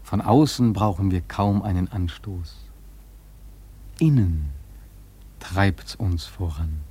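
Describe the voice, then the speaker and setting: An actor playing a sailor who narrates a whaling voyage in a radio drama, husky, reflective and ominous in measured phrases. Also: male voice, low voice, a little hiss or hum. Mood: musing foreboding. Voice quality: husky, dark.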